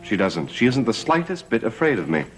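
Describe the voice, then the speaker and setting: An actor playing a secretive, self-assured master of a gothic mansion in an old television soap, speaking in a measured, formal, guarded way. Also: male voice, mid-range voice, very animated, lightly acted. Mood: assured, intent. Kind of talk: 1960s-70s gothic soap opera acting. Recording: old TV soundtrack with loud background music.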